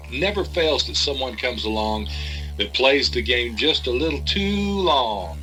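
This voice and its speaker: A man's voice: mid-range voice, sharp tone, poor audio. An actor playing a secretive insider informant in an audio drama, evasive and cautioning as he talks.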